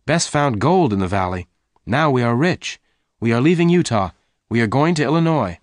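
A man's voice: mid-range voice, animated, normal speed, no echo, pitched above the performer's own voice, lightly acted. A man reading the part of a decisive, protective young cowboy in a storybook, firm and urgent. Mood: earnest. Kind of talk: dramatised audiobook dialogue.

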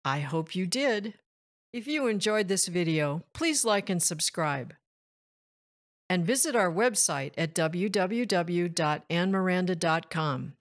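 A woman narrating a picture book for very young children, addressing them directly and pronouncing things deliberately. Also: on the low side, expressive. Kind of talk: children's read-aloud, addressing young listeners.

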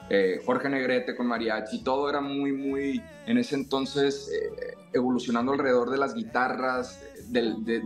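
Joven cantante de Sonora, habla pausado. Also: male voice, mid-range voice. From Sonora, Mexico. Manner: reflective, halting with many restarts. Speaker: singer and songwriter, interview guest. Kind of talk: casual interview. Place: home room. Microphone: video call.

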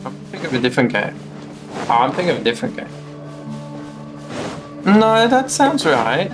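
A man's voice: on the high side, very animated, moderate pace. A young man casually commentating on his own gameplay, talking to himself in a playful, mock-polite way. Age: young adult. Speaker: game streamer. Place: small room indoors at home. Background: game music.

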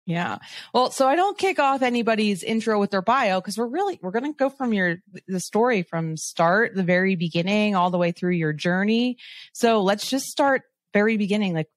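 A woman's voice: mid-range voice, animated, moderate pace, dry recording. A woman hosting a business podcast, asking curious, rambling questions. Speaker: podcast host. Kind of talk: conversational interview.

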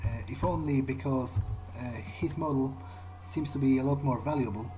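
A man's voice: medium pitch, moderate pace, mellow, faint music behind, natural voice. A man playing an irreverent male vampire adventurer in a game, thinking aloud in a muttering, hesitant way. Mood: casual musing. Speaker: gamer playing in character. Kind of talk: in-character gameplay commentary, thinking aloud. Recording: clearly noisy game sound behind voice. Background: game ambience.

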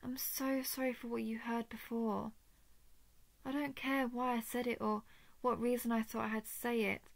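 Woman acting a soft, creaky-voiced lovestruck dragon. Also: on the high side, level tone, moderate pace, no room sound. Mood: remorseful, tender. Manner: soft, hesitant, often dropping into breathy whispers. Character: lovestruck female dragon, possessive yet tender and remorseful. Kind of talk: intimate roleplay audio drama.

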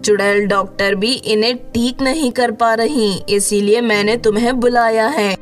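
A woman's voice: fairly high pitch, steady tone, unhurried, faint music behind, lightly acted. A woman voicing a kind, earnest young female witch in a cartoon story. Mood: worried.

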